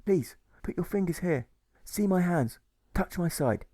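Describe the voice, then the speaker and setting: A man acts out a storybook freed prisoner, a gentle and saintly man. He speaks earnestly and soothingly in a lifted pitch, recorded dry. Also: lively intonation, a little slow, no room sound. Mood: earnest pleading.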